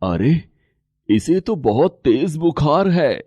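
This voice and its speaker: Man acting a slow, expressive caring father. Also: fairly high pitch, slightly rough, no room sound, muffled recording, heavily acted. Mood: concerned. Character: poor, tired, caring rickshaw-driver father. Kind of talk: moral story character acting.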